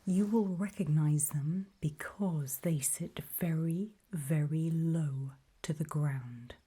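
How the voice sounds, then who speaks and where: A woman performs an ASMR roleplay as a calm guide, whispering close to the microphone. Her voice is very breathy and very mellow, sitting low for a woman. She instructs deliberately, leaving pauses between short phrases.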